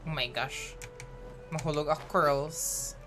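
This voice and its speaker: A woman's voice: low-pitched, fairly even intonation, a little slow, over music. A woman, chatting casually and playfully while streaming a game. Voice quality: chatty, playful, mixing in Filipino words. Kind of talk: casual live-stream commentary.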